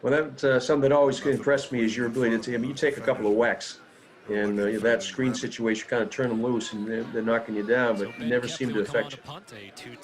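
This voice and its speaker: Older coach commenting, crowd behind. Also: male voice, mid-range voice, fairly even intonation. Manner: halting, many fillers, praising. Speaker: football coach. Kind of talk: casual game commentary.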